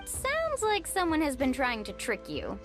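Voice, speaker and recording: A woman's voice: high voice, huge pitch swings, unhurried, heavily acted. A woman playing an upbeat, confident young female adventurer and guide in a video game, bubbly and encouraging. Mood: playful. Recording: game music behind the voice.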